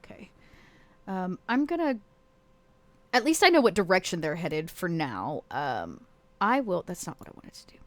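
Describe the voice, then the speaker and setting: A woman's voice: fairly low pitch, sing-song, moderate pace, pauses often, fairly bright tone, dry recording. A woman talking casually as a player in a tabletop roleplaying game, thinking aloud about her moves.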